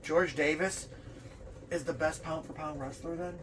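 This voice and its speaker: A man with a somewhat high voice runs through a casual wrestling talk show. He is animated and jokey, often stumbling and restarting as he rambles. Faint noise sits behind the voice. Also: normal speed, rough recording. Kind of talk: casual chat and rundown of rankings. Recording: clear background room noise.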